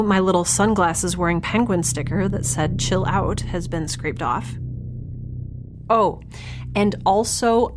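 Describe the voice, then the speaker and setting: A woman playing a stranded young scientist in an audio drama, giving wry, anxious, self-deprecating log entries in a halting, confessional way. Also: fairly low pitch, darkish tone, a little hiss or hum. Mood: wry, deflated. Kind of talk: audio drama monologue, recorded log entry.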